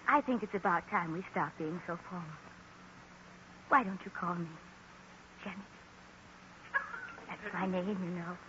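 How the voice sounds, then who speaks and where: A woman's voice: on the low side, unhurried, long pauses, natural voice. A woman playing a lovestruck, coy department store saleswoman in a 1940s radio sitcom, gushing and dropping hints. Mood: coyly flirting. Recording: noisy, dull old 1940s radio recording.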